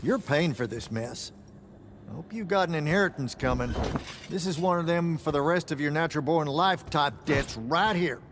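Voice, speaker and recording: An actor playing a blunt, shrewd older recluse in a video game, grumbling in a folksy way. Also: male voice, fairly high pitch, normal speed, fairly bright tone, a little hiss or hum, close to an everyday voice. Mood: grumpy.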